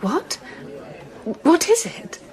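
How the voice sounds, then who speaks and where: An actress playing a polite, high-minded young Englishwoman in a period radio drama, with an English accent and precise manner. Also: drawn-out words, darkish tone. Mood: alarmed. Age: young adult.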